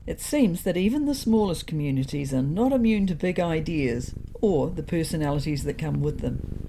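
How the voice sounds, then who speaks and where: A woman's voice: low voice, expressive, normal speed, creaky, warm tone, slight background noise. A woman narrating a scripted first-person story in a wry, understated manner.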